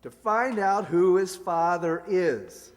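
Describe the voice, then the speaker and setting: A man acts a flustered, earnest young bachelor in a radio comedy. His pitch is high and jumps about as he stammers, and the sound has the long echo of a hall. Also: lively intonation, slow pace, noisy background. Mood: exasperated. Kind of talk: old-time radio comedy acting. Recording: echoey hall stage recording.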